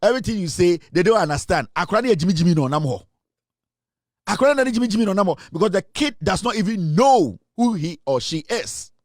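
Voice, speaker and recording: A man with a Ghanaian English accent delivers an opinionated commentary in a fairly high voice. He talks emphatically and drives each point home by repeating it. The sound is close and dry, with little room around it. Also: lively intonation, fast talker, dry recording. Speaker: online commentator.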